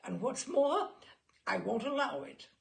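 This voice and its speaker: A woman's voice: a little high, lively intonation, a little slow, creaky, slight background noise, exaggerated voice. A woman voicing a strong-willed, exasperated middle-aged husband in a storybook reading, emphatic and blustering. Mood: indignant, exasperated.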